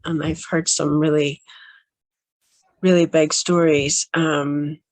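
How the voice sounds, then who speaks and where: A woman speaking as a healing practitioner in a casual, grateful chat with her viewers, still a little under the weather, with frequent soft laughs and pauses. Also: sits low, no echo, rough recording. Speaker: quantum healing hypnosis practitioner.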